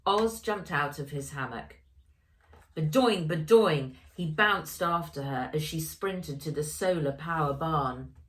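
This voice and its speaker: A woman's voice: low voice, slow. A woman narrating her own children's story expressively, speaking directly to young listeners. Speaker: author reading own story.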